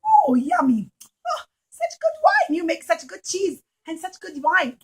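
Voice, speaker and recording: A wicked puppet general voiced by a woman, high in pitch and full of exaggerated bluster. He is greedy and easily fooled, a villain for small children. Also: huge pitch swings, measured pace, heavily acted. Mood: greedy, thirsty.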